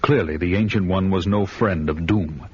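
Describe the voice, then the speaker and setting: An actor playing a vengeful barbarian warrior, narrating his quest in a grim, measured way. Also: low voice, a little mellow, husky. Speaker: narrator and hero. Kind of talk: first-person radio drama narration. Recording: dull lo-fi audio with music behind.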